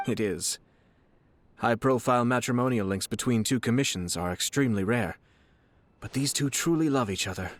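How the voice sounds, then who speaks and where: A man's voice: medium pitch, moderate pace, a little hiss or hum, close to an everyday voice. An actor playing a courteous young nobleman and clan head in a video game, formal, eloquent and composed. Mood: polite, measured. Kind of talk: video game character acting. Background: music.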